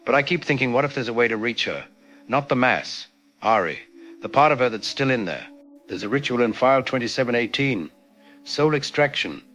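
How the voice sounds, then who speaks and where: A man playing a grieving scientist recording a desperate, halting confessional log in an audio drama. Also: on the low side, fairly bright tone. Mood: desperate longing. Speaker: doctor and researcher.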